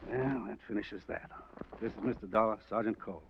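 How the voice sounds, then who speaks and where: An American actor playing a weary, practical police lieutenant in a 1950s radio drama, deliberate and matter-of-fact. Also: male voice, on the low side, vocal fry. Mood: resigned. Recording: muffled, faintly noisy old radio recording.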